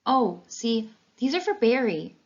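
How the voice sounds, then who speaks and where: A woman's voice: on the high side, sing-song, a little slow, pitched above the performer's own voice, exaggerated voice. A woman voicing an earnest young schoolboy in a children's storybook, speaking emphatically, on a dull recording. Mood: hesitant. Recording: dull, lo-fi sound.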